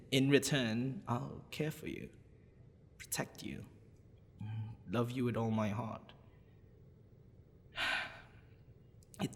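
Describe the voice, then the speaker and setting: A man playing a smitten, possessive half-dragon librarian in a boyfriend ASMR roleplay, with a halting, stammering, husky delivery. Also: mid-range voice, a bit bright, exaggerated voice. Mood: tender, sheepish. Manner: halting, stammering, breathy sighs and nervous laughs. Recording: long reverberant echo.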